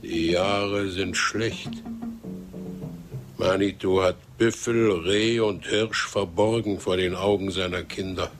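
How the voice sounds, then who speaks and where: An actor playing a grave, cunning Comanche chief in a radio drama, speaking in solemn, ceremonious phrases. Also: male voice, low voice, steady tone, soft background music. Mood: plaintive, bargaining. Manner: solemn, measured, formal third-person speech. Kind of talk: German radio drama acting.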